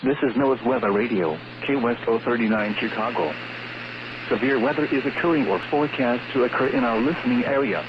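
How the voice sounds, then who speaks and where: A man reads a scripted emergency weather bulletin in a formal, measured, synthetic delivery. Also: mid-range voice. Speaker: weather radio announcer. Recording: dull, noisy weather radio broadcast.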